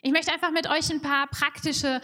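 A woman interpreting a sermon live in German, talking quickly and fluently with a few fillers. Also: high voice, level tone, pushed and bright. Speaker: church interpreter.

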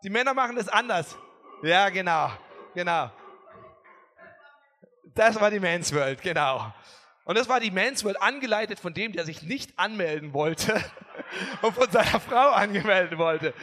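A man preaching a sermon as pastor, telling stories with humor in a lively way. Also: sits high, measured pace. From not from Bavaria or Austria. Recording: echoey hall, faint music.

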